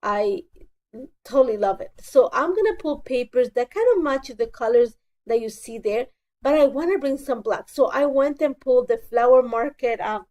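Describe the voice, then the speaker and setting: Woman, stuffy with a cold, mellow higher voice, casual craft voice-over, chatty and self-correcting. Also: moderate pace. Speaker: scrapbooking crafter.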